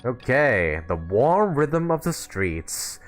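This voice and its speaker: A man's voice: medium pitch, big pitch swings, slow pace. A man streaming a video game live, chatting casually and often rephrasing himself as he comments. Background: game music.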